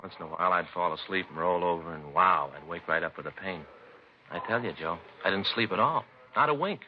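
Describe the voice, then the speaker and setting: Radio play detective's partner, male actor: American, deep-toned, complaining family man, folksy and rambling, muffled old recording. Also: brisk, close to an everyday voice. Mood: aggrieved. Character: grumbling, put-upon family-man police detective sidekick. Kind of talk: 1950s radio acting, conversational dialogue. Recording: dull vintage radio recording, faint noise.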